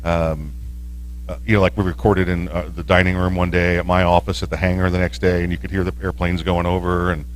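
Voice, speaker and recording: A man's voice: low voice, flat delivery, a little fast, slight background noise, rough recording. A man who hosts talk radio, chatting casually on a panel stream and rambling with frequent restarts and fillers.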